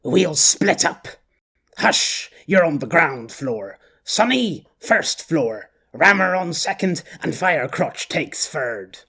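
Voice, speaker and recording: A man voicing a blustering, boastful petty male gang boss who rants and bosses his crew around, with a husky voice. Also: a little high, sing-song, slow pace, bright, forceful tone, full character voice. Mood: bossy. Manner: boastful, bossy, rough-talking.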